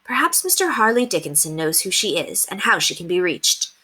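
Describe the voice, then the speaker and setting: A woman's voice: lowish voice, big pitch swings, a little fast, sharp tone, husky, full character voice. A woman voicing a kindly, earnest fifteen-year-old governess in a reassuring, determined way.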